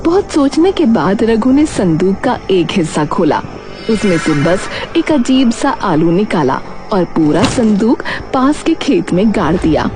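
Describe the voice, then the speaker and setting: Casting: female narrator for dramatic moral tales, animated intonation, mid-range voice over a music bed. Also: medium pitch, unhurried. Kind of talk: dramatic scripted story narration. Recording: background music throughout.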